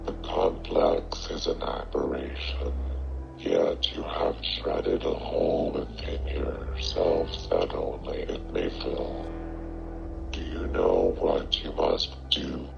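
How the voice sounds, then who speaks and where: A man's voice: a bass voice, very animated, slow pace, vocal fry, noisy background, exaggerated voice. A man voicing a sinister otherworldly inner voice in analog horror, solemn, demanding and commanding. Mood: cold, commanding. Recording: muffled lo-fi audio under music.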